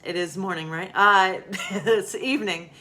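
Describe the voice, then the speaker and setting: A woman with a mid-pitched voice speaks as a pastor hosting bedtime stories. She moves between casual, slightly rambling chat and simple storybook reading for children. She addresses her listeners informally, as friends. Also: a little slow, sharp tone, slight background noise.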